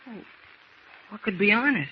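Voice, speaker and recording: Excitable high-voiced boy sidekick. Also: normal speed. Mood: curious, eager. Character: eager, wide-eyed excitable boy sidekick. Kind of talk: 1940s radio serial acting. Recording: muffled old radio recording with hiss.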